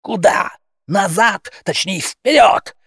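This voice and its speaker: A male reader acts out a cat army commander from a humorous tale. He is excitable and bossy, shouting his orders urgently. The pitch jumps well up. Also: slow pace, breathy edge, dry recording, studio quality, heavily acted. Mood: frantic, urgent.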